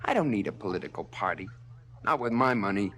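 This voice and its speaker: An actor playing a rich, ruthless, vengeful son in a stylized radio drama, cold, mocking and sure of himself. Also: male voice, fairly low pitch, animated, brisk, a bit bright. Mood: cool, calculating.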